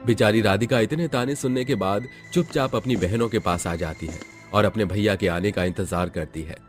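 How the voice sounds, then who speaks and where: A man's voice: on the low side, moderate pace, faint music behind. A man reading a scripted moral story as its narrator.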